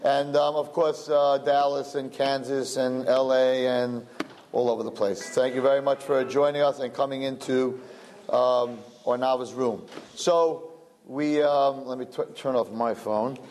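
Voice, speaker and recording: A man's voice: mid-range voice, steady tone, unhurried, darkish tone, a little hiss or hum. A man with a Brooklyn accent hosting a live-streamed event, rambling and joking about himself as he makes announcements. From Brooklyn, USA. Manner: chatty, rambling, self-joking. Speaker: event host.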